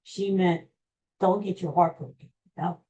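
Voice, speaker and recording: An older man with an American accent tells personal stories casually, in a halting, rambling, self-deprecating way. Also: high-pitched, steady tone, dark tone. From Pennsylvania, USA. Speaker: podcast host. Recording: dull, muffled lo-fi audio.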